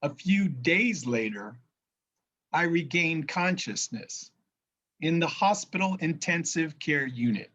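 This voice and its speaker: Slow, careful man with a New Jersey accent. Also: fairly high pitch, expressive, fairly bright tone. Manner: slow, careful speech after a brain injury, occasional word restarts. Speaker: minister. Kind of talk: sermon.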